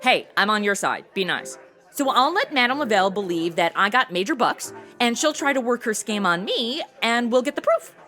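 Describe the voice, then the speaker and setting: A woman's voice: fairly high pitch, lively intonation, normal speed, fairly bright tone, faint music behind. An actress playing a flippant, self-absorbed modern young woman in a comedy audio drama, breezy, sassy and slangy. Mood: cocky. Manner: sassy, breezy, slangy, valley-girl phrasing.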